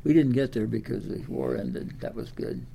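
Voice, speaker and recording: A man's voice: lowish voice, huge pitch swings, normal speed, vocal fry, warm tone, a little hiss or hum. A man of ninety from Iowa, telling his life story in an oral history interview, rambling with many fillers and restarts. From Des Moines, Iowa. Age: 90s.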